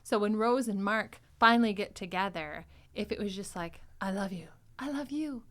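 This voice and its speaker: A woman's voice: medium pitch, moderate pace, a bit bright. A woman hosting a podcast, chatting casually and retelling scenes, animatedly acting out the dialogue as she goes. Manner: animatedly acts out quoted dialogue. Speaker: podcast host recapping an audio drama.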